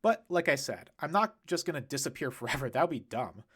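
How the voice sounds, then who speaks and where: A man's voice: fairly high pitch, very animated, fairly quick, fairly bright tone, breathy edge, exaggerated voice. A man voicing a chatty, upbeat teenage girl. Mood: reassuring. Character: teenage girl, cheerful and reassuring.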